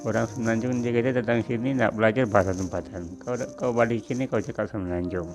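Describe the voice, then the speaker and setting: Low, gravelly Sabahan man reminiscing. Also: steady tone, music in the background. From Sabah, Malaysia. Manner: reflective, unhurried, with frequent fillers. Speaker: former factory worker telling his story. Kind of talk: personal storytelling.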